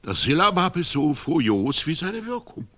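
Pompous, pedantic knight-poet, swooping voice. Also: male voice, a little high, big pitch swings, normal speed, fairly bright tone. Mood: lecturing. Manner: pompous, didactic. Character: pedantic medieval knight, self-styled poet lecturing on storytelling rules. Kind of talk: comic radio play acting.

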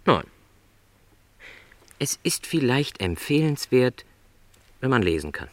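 A man's voice: medium pitch, sing-song, slow, dry recording. An actor playing a lonely, contented travelling salesman who is no longer young, speaking modestly and politely in a radio play. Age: middle-aged.

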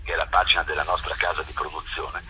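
A man's voice: a bass voice, little pitch movement, quick pace, sharp tone, a little hiss or hum. A man gives a spontaneous radio interview over the telephone, hesitating and repeating words as he explains. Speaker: festival curator and film producer, interview guest. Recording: harsh, lo-fi telephone radio call.

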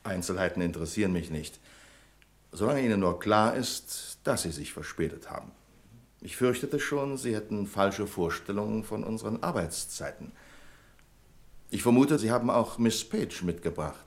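Pedantic, sarcastic office boss, animated intonation. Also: male voice, on the low side, normal speed. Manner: sarcastic, pompous, exaggeratedly formal politeness. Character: pedantic, sarcastic male office boss, nagging about punctuality. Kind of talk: German radio drama acting.

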